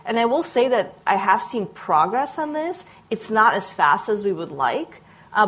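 A woman's voice: fairly low pitch, a bit bright. A woman answering an audience question as a panelist, articulate and engaged, building long structured arguments. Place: conference panel before an audience.

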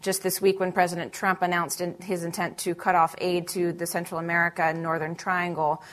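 Measured woman speaking on a panel. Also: fairly low pitch, level tone. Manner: measured, with frequent fillers and restarts. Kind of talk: panel discussion remarks.

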